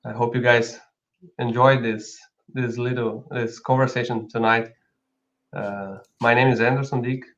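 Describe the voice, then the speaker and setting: A man's voice: medium pitch, steady tone, measured pace, a bit bright, muffled recording. A man from Brazil with a Brazilian accent, speaking as a company founder co-hosting an informal live product talk.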